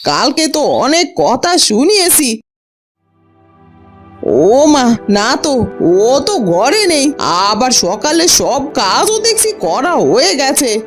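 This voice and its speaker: A woman's voice: high voice, big pitch swings, slow pace, exaggerated voice. A woman voicing a bad-tempered, greedy middle-aged aunt in a fairy-tale cartoon, nagging and taunting sharply over music. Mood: suspicious.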